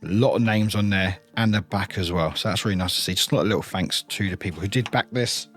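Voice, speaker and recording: A man's voice: low-pitched, fast talker, fairly bright tone, faint music behind. A man presenting an unboxing in a casual, chatty way, often trailing into short informal asides. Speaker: reviewer hosting an unboxing channel.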